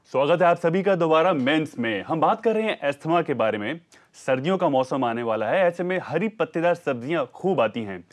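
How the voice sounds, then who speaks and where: A man's voice: on the high side, lively intonation, fairly quick, faint music behind. A man hosting a health call-in show, presenting and putting questions to a guest. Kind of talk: TV presenting and interviewing.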